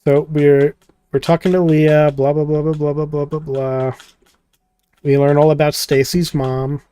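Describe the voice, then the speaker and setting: Rambling, jokey man, slightly high dry voice. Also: little pitch movement, a little slow, mellow, clear, resonant tone, no room sound. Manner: rambling, jokey, skims text with filler words. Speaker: host playing a visual novel. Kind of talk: casual gameplay commentary, reading dialogue aloud.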